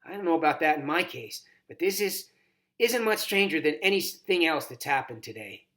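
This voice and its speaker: Man as a thoughtful, quick-witted boy. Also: on the high side, animated, a bit bright, pitched above the performer's own voice. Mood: skeptical.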